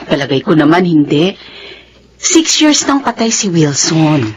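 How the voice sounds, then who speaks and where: An actress playing a spirited, insistent and encouraging confidante in a Filipino radio drama, with a Filipino accent. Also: female voice, low-pitched, very animated, unhurried, dull audio, a little hiss or hum. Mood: urging.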